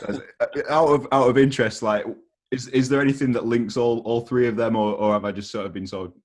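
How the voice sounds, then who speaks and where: A man's voice: mid-range voice, fairly even intonation, fairly quick. A man with a British accent interviewing in a casual, easygoing, slangy way.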